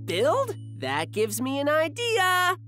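A woman voicing an eager, dramatic little kid character in a cartoon, excitable and exclamatory. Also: sits high, slow pace, full character voice. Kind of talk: animated cartoon voice acting. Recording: loud music behind the voice.

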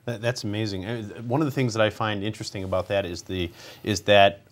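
A man's voice: lowish voice, quick pace. A middle-aged man hosting a college TV talk program, giving polished introductions and asking friendly questions in a studio on a lapel mic. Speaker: TV talk show host. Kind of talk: formal program host.

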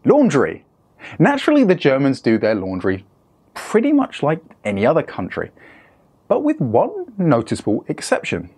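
A man's voice: mid-range voice, huge pitch swings, moderate pace, darkish tone, breathy edge. A young British man hosting a scripted explainer, talking in a playful, emphatic way with wry asides, on a lapel mic in a studio. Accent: British English. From United Kingdom. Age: young adult. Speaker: host. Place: studio in front of a screen. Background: background music.

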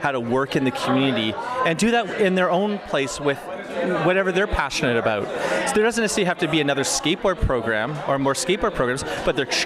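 A middle-aged man explaining casually and with enthusiasm in an interview, as a teacher would. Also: on the high side. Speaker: teacher and program founder. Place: busy indoor school event room. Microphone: handheld interview microphone. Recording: loud crowd chatter behind.

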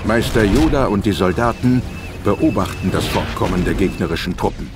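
A man's voice: fairly low pitch, animated, normal speed, over loud music. A man narrating a scripted audio drama as the storyteller.

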